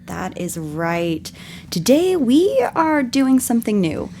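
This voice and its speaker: A woman hosting a podcast, her voice scratchy and strained from yelling, explaining things in an earnest, scripted way. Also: on the high side, big pitch swings, takes it slowly. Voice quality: scratchy, husky. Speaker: podcast host.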